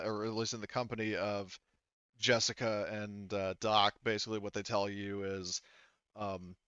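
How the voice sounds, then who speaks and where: A man narrating a tabletop role-playing game as game master, improvising in a halting, rambling way full of asides. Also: fairly low pitch, a bit bright, clear, resonant tone, no room sound, lo-fi sound.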